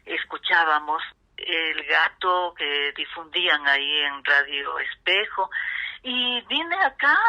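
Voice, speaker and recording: A woman in her seventies with an Ecuadorian highland accent, reminiscing as an interview guest in an emphatic, repetitive way. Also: bright, forceful tone, dull audio. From Ecuador, grew up in Riobamba. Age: 70s. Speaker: interview guest, veteran radio actress.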